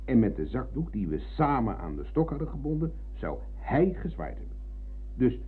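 An actor playing a forgetful old war veteran in a radio play, husky and halting as he rambles. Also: mid-range voice, big pitch swings, slight background noise, natural voice. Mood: insistent, storytelling. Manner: hesitant, halting, peppering talk with tag questions. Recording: thin, phone-like old radio recording.

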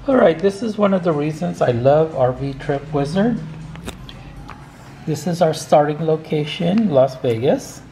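A man's voice: on the high side, lively intonation, mellow, reverberant, a little hiss or hum, low-quality recording. An older man talking casually to the camera from his dining room table about planning an RV road trip, opinionated and chuckling now and then. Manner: slow, opinionated, chuckles as he talks. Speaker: RV travel vlogger. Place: home dining room, indoors. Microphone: fixed camera across the table.

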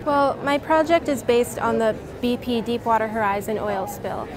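A woman's voice: on the high side, normal speed. A teenage girl from New Orleans, a science fair student, talking eagerly and chattily in an interview with many fillers. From New Orleans, Louisiana. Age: teens. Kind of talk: casual interview answers. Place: busy convention hall. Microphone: lapel mic. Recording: crowd chatter behind.

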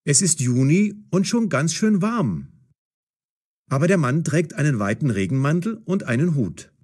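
A man's voice: on the high side, big pitch swings, moderate pace, darkish tone, breathy edge. A man narrating a scripted story for language learners in short, dramatic sentences.

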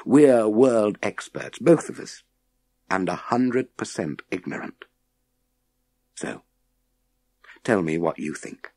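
Man as a wry, deliberate ex-astronaut. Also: lowish voice, very animated, mellow, no room sound, heavily acted. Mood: wry, intense. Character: world-weary, wry, authoritative male former astronaut.

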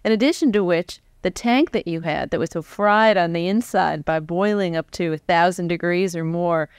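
An American woman in her forties interviewing onstage in a conversational, animated way, thinking aloud as she goes. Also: lowish voice, normal speed, smooth, no echo, clean studio sound. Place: stage before a live audience. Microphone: large foam-covered microphone on a stand close by.

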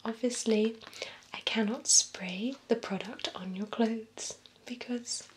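A young woman performs a calm female vampire beautician in an ASMR roleplay. Her voice is a soft, breathy whisper, very slow and deliberate, with gentle attention to each client. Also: fairly high pitch, a little hiss or hum. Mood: calm, attentive. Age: young adult. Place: small indoor room with bookshelves.

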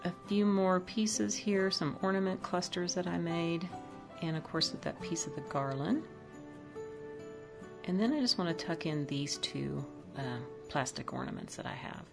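A woman's voice: on the low side, moderate pace, gravelly, music in the background. A woman chatting casually as she decorates her home kitchen, thinking aloud about each piece. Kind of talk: casual voice-over demo.

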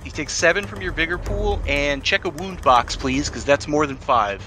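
A man's voice: a little high, normal speed. A man acting as game master in a tabletop role-playing game, joking and swearing freely. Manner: jokey, swearing, laughs often. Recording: music playing behind the voice. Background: music.

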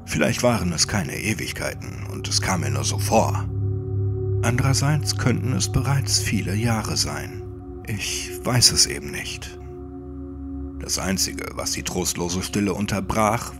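Low, gravelly male horror narrator. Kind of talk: scripted first-person creepypasta narration, audiobook.

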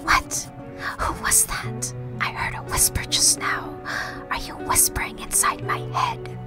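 A woman voicing a small, excitable, anxious firefly in a game, in a breathy, questioning whisper. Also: unhurried, loud music behind the voice, natural voice. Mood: distressed. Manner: exclamatory, questioning.

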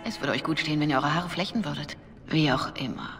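Game role: a calm, dignified revered mother, middle-aged, measured and formal, regretful undertone, music underneath. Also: deep voice. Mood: calm, instructive. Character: composed, remorseful older Orlesian revered mother, a priestess. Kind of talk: dramatic video game voice acting.

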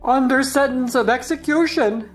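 A woman's voice: sits high, moderate pace, soft background music, natural voice. A woman playing a nervous, secretive, gossiping attendant in an audiobook play. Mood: anxious, gossiping.

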